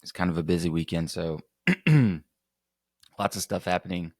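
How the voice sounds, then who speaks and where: A man with a slightly hoarse voice hosting a casual sports podcast, rambling and correcting himself as he goes. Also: deep voice, fairly even intonation, moderate pace, no room sound, clean studio sound. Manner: rambling, self-correcting, chatty. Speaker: podcast host.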